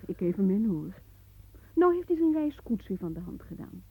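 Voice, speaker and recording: An actress playing a sharp-tongued married daughter of a marshal who teases and mocks her sister, sometimes dropping to a whisper. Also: mid-range voice, very animated, normal speed, slightly rough, no room sound, narrow, tinny audio. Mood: scolding. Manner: teasing, mocking, drops to whispers.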